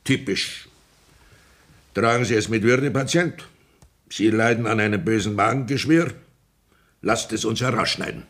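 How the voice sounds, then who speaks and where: A man's voice: lowish voice, unhurried, pauses often. A man playing a pompous old country doctor who lectures theatrically in a 1960s radio play. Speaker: Sanitätsrat.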